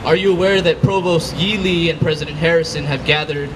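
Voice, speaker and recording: High-pitched man reading a formal public address. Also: level tone, a little fast, noisy background. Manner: formal, earnest advocacy. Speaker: public speaker for an alumni and student group. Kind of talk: prepared public address read aloud.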